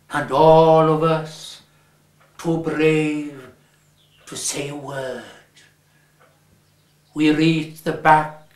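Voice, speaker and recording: Welsh man, storybook reading, very slow, lingering words, fairly high voice, echoey room. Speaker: reader. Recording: roomy echo.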